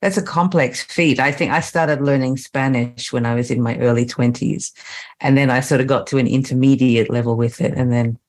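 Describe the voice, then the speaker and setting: A woman's voice: extremely low pitch, fairly even intonation, normal speed, a little mellow. Articulate woman hosting a podcast. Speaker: podcast host, sexologist. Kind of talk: scripted intro then casual interview chat.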